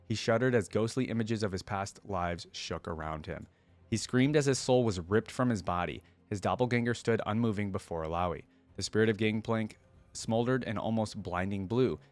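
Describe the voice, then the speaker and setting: A man with a fairly low voice and a close, dry sound hosts a reaction video. He reads fantasy prose aloud and drops in informal, slangy asides of his own. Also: no echo. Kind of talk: reading a fantasy story aloud with casual commentary.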